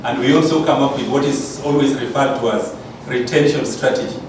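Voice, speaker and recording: A man's voice: medium pitch, fairly even intonation, brisk. Kenyan man presenting in very echoey room. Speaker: college business lecturer presenting. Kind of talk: conference presentation. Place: large echoey room, recorded from afar. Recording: echoey room, distant mic, noisy.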